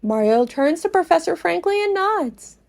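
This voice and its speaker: A woman hosting a game podcast, narrating play dramatically with drawn-out, descriptive phrasing and a sense of wonder. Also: very high voice, very animated, creaky, darkish tone. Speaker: podcast host playing a video game.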